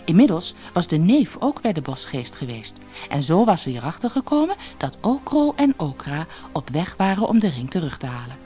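A woman reading a fairy tale as an audiobook storyteller, expressive and measured. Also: on the low side, faint music behind. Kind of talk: scripted fairy-tale narration.

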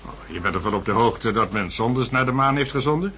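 Reasonable, lively-toned radio-drama colleague. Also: male voice, medium pitch, brisk, slight background noise. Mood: reasoning. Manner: placating, questioning. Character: calm, reasonable male colleague patiently questioning, mildly sceptical. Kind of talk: Dutch science-fiction radio drama acting.

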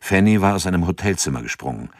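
Calm, measured male narrator, deep voice, scripted radio drama. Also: dry recording, studio quality.

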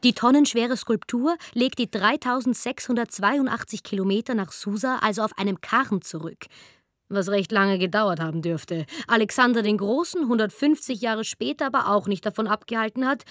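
A woman's voice: a little high, expressive, brisk, dry recording. A woman narrating a radio drama in a dry, conversational, tongue-in-cheek manner.

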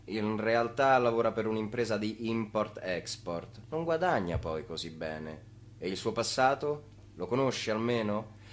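An actor playing a skeptical narrator in a radio drama, addressing characters in an insinuating, questioning way. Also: male voice, lowish voice, expressive, measured pace, slight background noise.

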